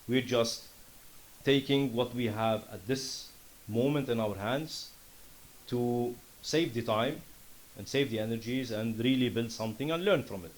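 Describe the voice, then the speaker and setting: A man from Syria with a Lebanese accent giving an earnest, impassioned startup pitch and answering questions. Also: slight background noise, low-quality recording. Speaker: startup founder presenting. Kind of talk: conference pitch and Q&A.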